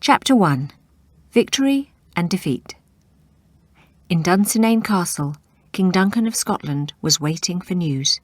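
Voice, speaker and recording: Slow-paced woman narrating a storybook. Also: fairly low pitch, lively intonation, dry recording. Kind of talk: scripted read-along narration.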